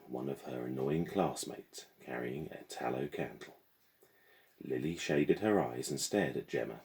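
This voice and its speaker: Man, very low voice, creaky, mellow tone, expressive storybook narration. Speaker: teacher reading aloud to pupils.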